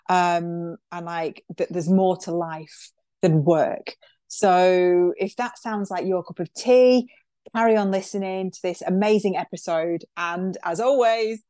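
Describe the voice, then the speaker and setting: A woman's voice: medium pitch, a little mellow, no echo. Lady hosting a podcast, gushy and chatty, dry close sound. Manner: gushing and enthusiastic, chatty with fillers. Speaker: podcast host. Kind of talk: casual interview chat.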